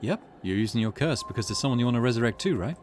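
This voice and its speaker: A man voicing a confident young curse bearer in a visual novel, calm, measured and coolly calculating. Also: medium pitch, slight background noise, lightly acted. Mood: calm, persuasive.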